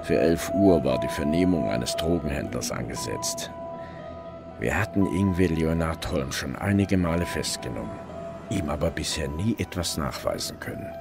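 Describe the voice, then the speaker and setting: An actor playing a weary divorced police inspector in his forties, narrating a crime radio drama in a sombre, measured, reflective way. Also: extremely low pitch, lively intonation, creaky, exaggerated voice. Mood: matter-of-fact. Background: music.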